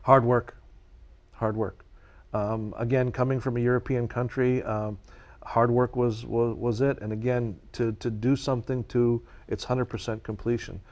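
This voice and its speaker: Czech-native male guest, mid-pitched, eager, passionate talker on nature. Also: level tone, unhurried, darkish tone. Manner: earnest and passionate, speaks at length. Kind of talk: conversational interview answers.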